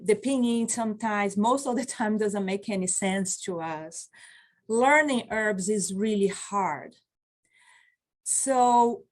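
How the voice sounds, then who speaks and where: A woman's voice: mid-range voice, a little mellow. A woman in her forties, not a native English speaker, teaching an online class as a medicine practitioner, earnest and encouraging, speaking over a webcam from a small office. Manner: slow, earnest, encouraging, reads out chat replies. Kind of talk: online continuing-education lecture. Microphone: video call webcam.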